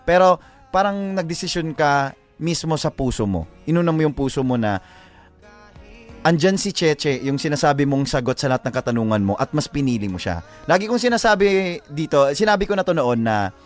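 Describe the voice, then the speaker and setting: A Filipino man with a slightly high voice talks casually as a live show co-host. He gives earnest, emphatic love advice, often repeating his phrases, with music playing underneath. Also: lively intonation, brisk. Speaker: radio show co-host giving advice to letter senders.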